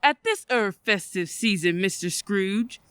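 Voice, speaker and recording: A woman's voice: medium pitch, animated, measured pace, fairly bright tone, smooth, dry recording. A woman playing a formal, hesitant charity collector in a radio play. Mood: polite, hesitant. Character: polite, hesitant charity collector seeking donations. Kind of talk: old-time radio play acting.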